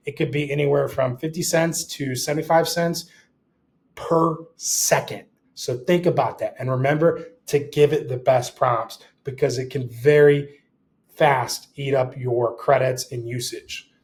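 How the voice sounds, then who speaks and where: A man's voice: mid-range voice, steady tone, normal speed, a little mellow. A man with a mid-range voice works as an instructor, talking through a tutorial in a casual way. He explains things step by step and puts short rhetorical questions to the listener.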